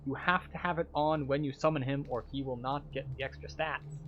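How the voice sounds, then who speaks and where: A man's voice: mid-range voice, steady tone, fairly quick, slight background noise. Man guiding a game walkthrough, slightly muffled. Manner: explanatory, guiding. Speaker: game tour guide. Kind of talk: scripted walkthrough narration.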